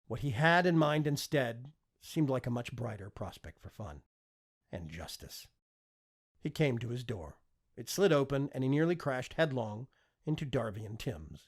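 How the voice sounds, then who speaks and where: A man narrating a fiction audiobook with expressive, scripted storytelling delivery. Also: medium pitch, breathy edge.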